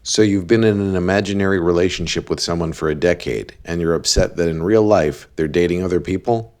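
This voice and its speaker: Man acting a deep-voiced deadpan cartoon cab driver. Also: brisk, lightly acted. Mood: blunt, analytical. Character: deadpan male cab driver dispensing blunt relationship advice. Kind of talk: animated series dialogue.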